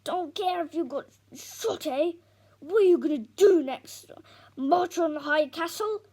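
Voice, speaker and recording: A woman voicing an angry, scornful father who shouts harshly at his son in a read-aloud story. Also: extremely high pitch, measured pace, voice pushed up.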